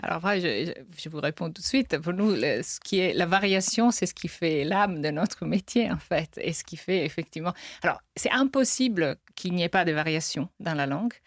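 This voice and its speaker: A woman's voice: lowish voice, animated, fairly quick, few pauses, no room sound. Hesitant woman linguist, dry close sound. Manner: hesitant with restarts and light laughs. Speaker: linguist guest. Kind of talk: interview answers.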